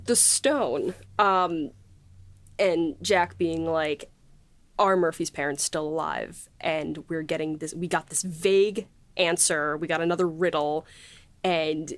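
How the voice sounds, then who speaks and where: A woman co-hosting a podcast in casual conversation, animated and acting out bits of dialogue as she talks. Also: sits low, slow pace, no echo. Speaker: podcast co-host and writer.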